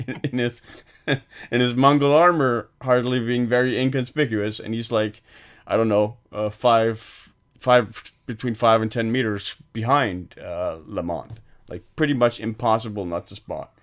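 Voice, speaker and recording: A man co-hosting a film podcast, talking casually and hesitantly, with many fillers and trailing off quietly. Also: mid-range voice, fairly even intonation, a little slow. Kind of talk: casual chat.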